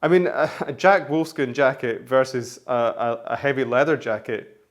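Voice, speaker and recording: A man hosting a menswear vlog, talking casually and rambling with many restarts, his voice hoarse from the flu. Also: mid-range voice, moderate pace. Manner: rambling, chatty, frequent restarts. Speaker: menswear vlogger.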